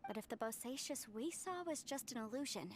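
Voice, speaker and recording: A woman's voice: on the high side, animated, fairly quick, slight background noise, lightly acted. A woman voicing a polite, precise young professional in a video game, articulate and businesslike. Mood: businesslike, inquiring.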